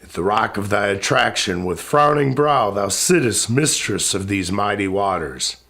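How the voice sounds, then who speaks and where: A man's voice: on the low side, lively intonation, normal speed, a bit bright. A man playing a wrathful, sorrowing ancient spirit king in a recited epic poem, speaking in a grand, commanding, declamatory way. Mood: imperious. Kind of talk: dramatic epic verse recitation.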